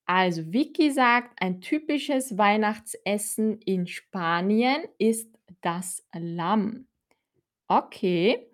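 A woman from Austria, teaching a live-streamed language lesson in slow, clear teacher talk, repeating words for learners. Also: medium pitch, expressive. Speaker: German teacher.

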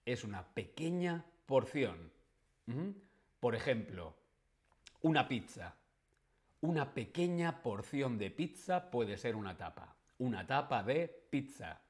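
A man teaching Spanish in a live stream, speaking slowly and clearly and repeating key words for learners. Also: medium pitch, very animated. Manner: reads viewer chat questions aloud. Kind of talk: live-streamed language lesson.

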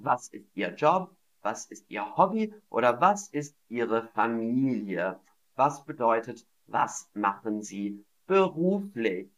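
A man teaching a live online language lesson, enunciating deliberately, repeating model phrases and encouraging his learners. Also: mid-range voice, a bit bright, rough recording. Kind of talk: live online German lesson, reading chat replies.

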